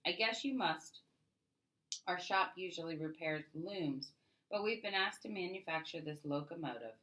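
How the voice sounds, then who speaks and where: A friendly machine-shop mechanic from a children's storybook, as a woman reader puts him on. He is encouraging and obliging, and the voice is bright and forceful. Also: fairly low pitch, expressive, normal speed. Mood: informative, obliging.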